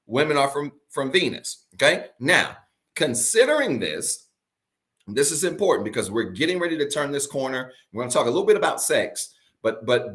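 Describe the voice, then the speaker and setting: A man preaching a livestreamed sermon in a raspy morning voice, pitched in the ordinary middle range for a man. He hammers his key points again and again and keeps nudging the online audience to type in the comments. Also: a bit bright. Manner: repeats key points, urges viewers to comment. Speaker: pastor.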